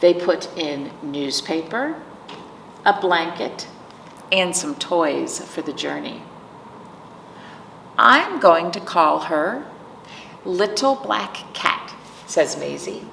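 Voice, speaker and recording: A woman reads aloud to young children in an animated, unhurried way, with some creak in her voice. She hosts a storytime, pausing to explain things simply and put questions to the listeners. The sound is roomy and a little distant from the mic. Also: lowish voice, a little hiss or hum. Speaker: library storytime host reading picture books.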